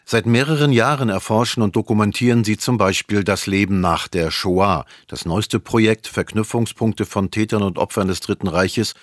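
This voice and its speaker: A man's voice: fairly low pitch, normal speed, few pauses, no echo. Male news narrator, formal scripted read, dry studio sound. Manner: even, formal.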